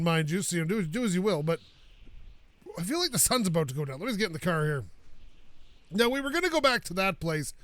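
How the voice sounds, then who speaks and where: A man's voice: sits high, a bit bright, no echo. A man from North America hosting a let's-play video, chatting and joking casually while he plays, and he has the sniffles. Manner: chatty, jokey, rambling asides. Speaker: gaming video host.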